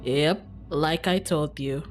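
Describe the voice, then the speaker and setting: A woman's voice: low voice, slow, faint music behind, voice pushed down. A woman voicing an icy, disdainful female cousin in a visual novel, speaking curtly and coldly. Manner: curt, cold, dismissive.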